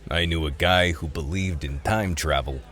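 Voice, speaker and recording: An actor playing a streetwise reformed thief in a radio drama, with a casual, wry way of talking. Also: male voice, a bass voice, moderate pace, a bit bright. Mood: flirtatious, wry. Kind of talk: radio drama acting and first-person narration.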